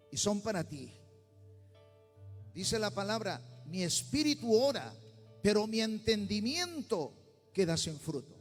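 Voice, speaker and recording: High-voiced Peruvian pastor preaching in a hall. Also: male voice. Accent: Peruvian Spanish. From Peru. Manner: preaching with rising intensity, bursts into tongues. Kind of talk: sermon. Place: church sanctuary.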